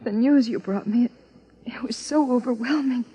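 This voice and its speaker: An actress playing a guarded, fragile young wife in 1950s radio drama style, breathy and defensive. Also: female voice, fairly high pitch, normal speed, mellow. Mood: faint, shaken. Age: young adult. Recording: dull, band-limited 1950s radio recording.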